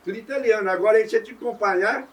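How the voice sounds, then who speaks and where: Older man recalling dances, quick and high. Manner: rapid, loosely strung memories. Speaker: interviewee. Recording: voice picked up from a distance.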